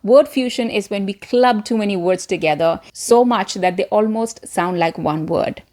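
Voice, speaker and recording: A woman gives an instructional talk in the manner of a presenter and coach. Her voice sits at a middle pitch for a woman. She addresses the listener directly and encouragingly, placing deliberate stress on the words that matter. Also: darkish tone.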